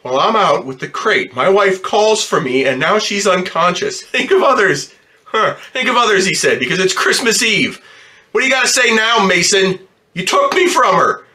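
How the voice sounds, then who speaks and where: A man's voice: high-pitched, expressive, normal speed, bright, forceful tone. A man playing an embittered, distraught ambulance driver in slangy, stammering old-time radio drama style. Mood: anguished, accusing. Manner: slangy working-class talk, stammering when upset.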